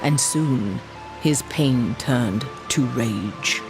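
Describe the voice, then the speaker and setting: An actor playing an ancient, sorrowful shaman of a stone people in a video game, solemn and measured. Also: medium pitch. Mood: sombre, reflective. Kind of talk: video game cutscene acting. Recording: clear music behind the voice. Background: game score.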